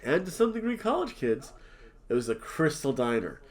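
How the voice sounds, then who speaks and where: A man's voice: mid-range voice, big pitch swings, normal speed. A man from New Jersey, nasal and sniffly from allergies, rambling and joking as a podcast co-host while drinking. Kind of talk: casual chat.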